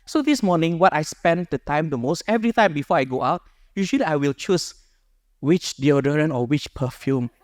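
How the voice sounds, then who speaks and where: A man's voice: a little high, animated, moderate pace, no room sound. A man, a friar sharing his personal story with a small group in an earnest, conversational way, speaking into a microphone. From Indonesia. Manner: earnest, conversational, rephrases as he goes.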